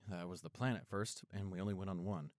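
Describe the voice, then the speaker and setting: A man playing a caring, apologetic young boyfriend in an intimate roleplay audio, speaking earnestly and gently. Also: sits low, steady tone, fast, no room sound, close to an everyday voice. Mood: earnest, reassuring. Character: apologetic, caring young boyfriend soothing his upset girlfriend.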